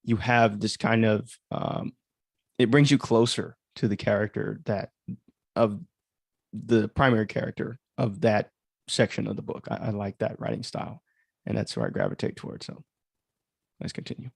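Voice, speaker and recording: A man's voice: on the low side, expressive, normal speed, creaky, husky, dry recording, studio quality. A man giving casual, rambling livestream commentary as an author and host, full of conversational asides. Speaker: author hosting a livestream of their audio drama.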